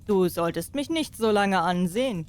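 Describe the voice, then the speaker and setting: A cocky storybook soldier, acted out by a woman narrator. The lines are blunt and self-assured, in a medium-pitched female register. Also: lively intonation, normal speed, lightly acted. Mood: bold. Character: cocky, plain-spoken male soldier in a fairy tale.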